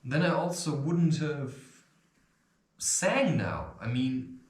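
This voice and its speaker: Echoey, slow-talking rambling man. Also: fairly high pitch. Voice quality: occasional stutter. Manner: loose, swearing, chuckling, animated. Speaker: self-help video host. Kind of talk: rambling spiritual advice monologue. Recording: echoey room.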